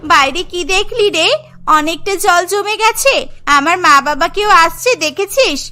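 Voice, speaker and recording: A woman's voice: extremely high pitch, expressive, moderate pace, pushed and bright, heavily acted. A woman voicing an anxious, childlike young schoolgirl in a cartoon, with a fretful, pleading manner. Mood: anxious questioning.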